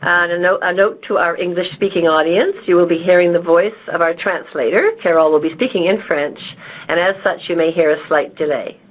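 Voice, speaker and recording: A woman moderating a webinar, making a clear, measured announcement to the audience. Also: fairly low pitch, rough recording. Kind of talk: scripted announcement.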